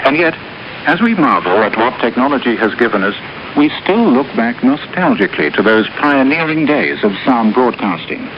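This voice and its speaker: A man's voice: medium pitch, very animated, moderate pace. A man speaking formally as a radio documentary narrator and interviewer. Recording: noisy, muffled old radio recording.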